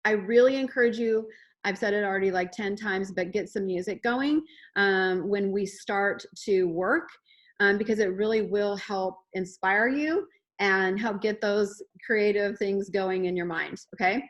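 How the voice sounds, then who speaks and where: A woman's voice: mid-range voice, unhurried. A woman fitness coach hosting an online workshop, upbeat and chatty with plenty of fillers. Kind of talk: casual workshop talk.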